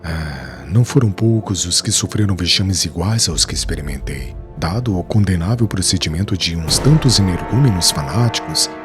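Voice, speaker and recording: Man doing an earnest weaver in an audiobook, solemn and measured, low and lively in pitch, soft music underneath. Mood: grave. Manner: solemn, measured storytelling of past suffering. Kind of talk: audiobook character dialogue. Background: faint background music.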